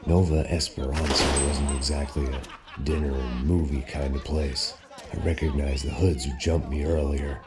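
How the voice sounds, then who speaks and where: Weary American ex-cop, extremely low voice, deadpan noir game narration, cynical, music behind. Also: male voice, normal speed. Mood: wry, brooding. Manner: sardonic, deadpan.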